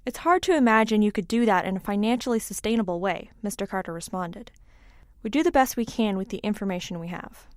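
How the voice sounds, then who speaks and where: A woman's voice: medium pitch, expressive, quick pace. A woman reading scripted local news as a narrator. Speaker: news reader.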